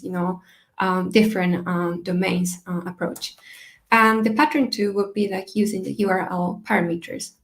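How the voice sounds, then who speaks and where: Woman giving a halting tech talk. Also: medium pitch. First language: Japanese. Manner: hesitant, many fillers and restarts. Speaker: conference speaker. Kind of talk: technical conference talk.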